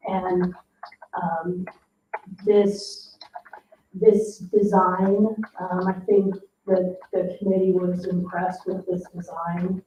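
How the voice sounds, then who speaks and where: Low, even-voiced American woman, echoey room. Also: takes it slowly. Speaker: town parks staff member presenting. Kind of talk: informal presentation at a board meeting. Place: indoor municipal meeting room. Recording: echoey room, distant dull microphone, faint noise.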